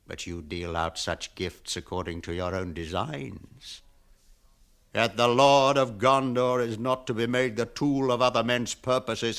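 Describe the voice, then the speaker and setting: An actor playing a stern, grieving old lord steward in British fantasy radio drama, speaking formally and imperiously with archaic phrasing. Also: male voice, medium pitch, a bit bright.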